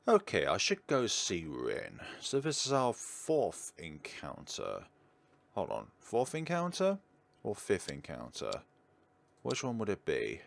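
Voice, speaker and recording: A man doing let's play commentary, reading visual novel text aloud. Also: lowish voice. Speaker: gaming YouTuber. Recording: game music behind the voice. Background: video game music.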